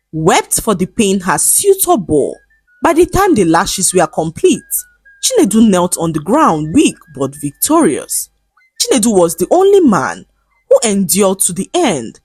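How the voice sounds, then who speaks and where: A woman storyteller reading a scripted folktale in a measured, dramatic storybook manner. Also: mid-range voice, husky, no room sound.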